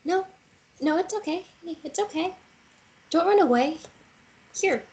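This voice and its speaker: An actress playing an eager, gentle six-year-old girl in a fantasy romance table read. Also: very high-pitched, lively intonation, measured pace, muffled recording. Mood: gentle reassurance.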